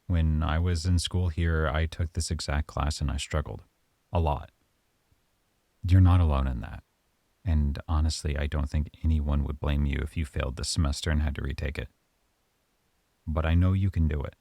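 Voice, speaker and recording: Deep, gravelly male lead for a close-mic ASMR roleplay, dry sound, calm and measured. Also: no echo. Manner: calm, measured, softly stern. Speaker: audio roleplay performer as a professor.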